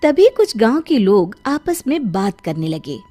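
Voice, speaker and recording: A woman storyteller with a high, swooping voice tells a moral tale from a script. She takes it slowly and expressively, with faint music behind her. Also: sing-song, warm tone.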